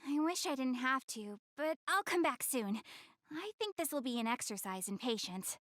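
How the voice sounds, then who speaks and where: A high, very animated young goddess girl from an anime video game, recorded dry and close. She is proud and slightly haughty, yet shy and earnest, easily flustered and hesitant when she opens up. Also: normal speed, no echo. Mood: bashful, affectionate. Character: shy, prideful young goddess girl, tsundere but caring. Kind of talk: English-dubbed anime video game acting.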